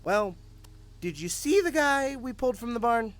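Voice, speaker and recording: A man's voice: extremely high pitch, expressive, normal speed. A man acting a confident, cynical police detective with a sardonic, matter-of-fact manner in an audio drama. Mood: sardonic, assured.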